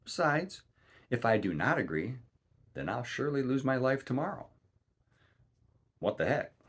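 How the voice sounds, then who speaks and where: A man's voice: mid-range voice, lively intonation, moderate pace, fairly bright tone, breathy edge, voice pushed up. A man voicing a despairing young miller's daughter in a fairy tale, hesitant and pleading. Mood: resigned.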